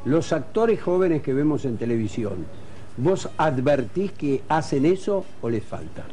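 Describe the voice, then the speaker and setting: High-pitched, animated Argentine man hosting. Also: measured pace, slight background noise. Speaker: TV show host. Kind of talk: talk show hosting.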